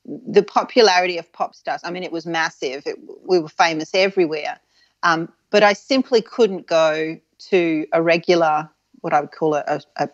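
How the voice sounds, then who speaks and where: A woman in her forties from Adelaide, with a soft Australian accent that leans English, reminiscing frankly as an interview guest with many ums and restarts. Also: on the low side, no echo, dull audio. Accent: soft Australian with an English tinge.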